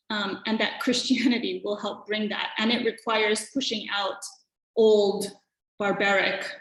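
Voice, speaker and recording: A woman in her thirties from Hawaii giving a thoughtful academic presentation, pausing often with fillers. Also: medium pitch, a bit bright. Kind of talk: conference presentation with slides. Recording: echoey room.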